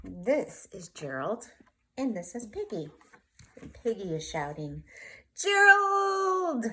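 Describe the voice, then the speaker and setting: A woman reading a children's picture book aloud, expressive and speaking to young listeners. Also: lowish voice, unhurried. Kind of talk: storybook read-aloud.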